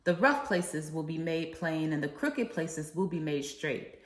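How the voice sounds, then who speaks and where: A woman with a slightly low voice reads aloud for children in an echoey, reverberant room. She works as an educator leading a read-along, moving between oratorical reading and simple explanation. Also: lively intonation, measured pace.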